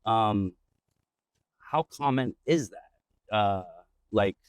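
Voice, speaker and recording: A man hosting a casual podcast interview, relaxed and rambling, with lots of fillers and restarts. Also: lowish voice, drawn-out words, clean tone, no echo. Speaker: podcast host. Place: outdoors in an old town district.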